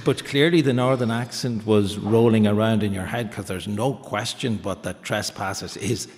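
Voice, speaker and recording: A man's voice: on the low side, normal speed, darkish tone. A man hosting a book festival talk in a gallery's marbled hall, speaking eloquently with occasional stumbles. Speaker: host of a book festival event. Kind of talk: formal introductions and questions. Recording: long reverb of a large stone hall.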